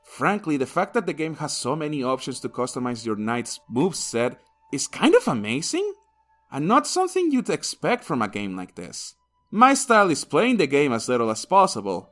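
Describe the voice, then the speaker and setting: A man's voice: medium pitch, sing-song, a little fast, fairly bright tone, faint music behind. A man narrating a scripted comedic video game review in a crude, sarcastic, sweary manner.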